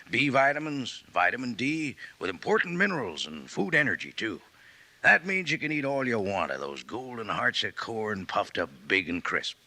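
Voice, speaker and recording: A man announcing a folksy, emphatic sponsor pitch on a 1950s radio program. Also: creaky, pushed and bright. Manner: folksy, sing-song, emphatic salesman. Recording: old radio broadcast recording.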